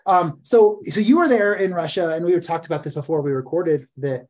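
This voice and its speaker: A man's voice: on the high side, fairly quick, a little mellow. A man in his forties hosting a casual interview, friendly, often repeating words as he starts sentences. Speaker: podcast host.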